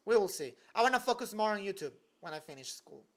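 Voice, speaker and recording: A man's voice: high-pitched, lively intonation, quick pace. A young man from Venezuela, casually answering live chat questions from his bedroom desk in a loose, joking way, full of "like". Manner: loose and joking, heavy use of "like". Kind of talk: casual livestream Q&A.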